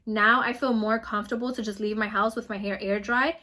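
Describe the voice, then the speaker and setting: A woman in her twenties with a New York accent, chatting quickly and bubbly through a casual product review vlog. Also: medium pitch, steady tone, pushed and bright. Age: 20s. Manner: fast-talking, bubbly and emphatic. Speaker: beauty vlogger.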